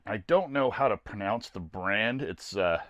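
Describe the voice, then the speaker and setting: A man's voice: lowish voice, expressive, measured pace, fairly bright tone, no echo, muffled recording. An older American man giving a casual, plainspoken product review to camera in a folksy, rambling way. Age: older, around 60s. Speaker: host of a camping gear video series. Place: small camper trailer interior.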